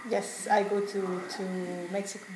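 A woman from Switzerland, chatting in halting English with many fillers as the one being interviewed. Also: mid-range voice, level tone. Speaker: interviewee, traveller. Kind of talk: casual chat in learner English. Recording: echoey room with noise behind.

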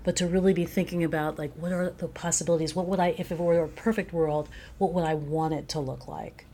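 Woman, slightly low register, thoughtful interview guest, earnest and expansive in answers. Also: fairly even intonation, fairly quick, mellow, a little hiss or hum. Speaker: guest playwright discussing their work; teacher. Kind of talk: reflective interview talk.

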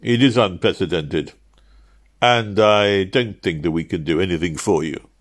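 A man voicing a formal, stonewalling chief office official in an audiobook, hemming and steady. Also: low-pitched, normal speed, close to an everyday voice. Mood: firm refusal. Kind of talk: audiobook character voice.

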